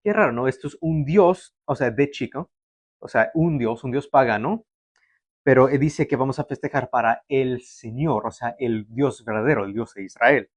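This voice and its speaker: A man's voice: mid-range voice, normal speed, dry recording. A young man born in Canada, preaching a sermon to a livestream audience in a conversational way, with fillers and restarts. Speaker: pastor.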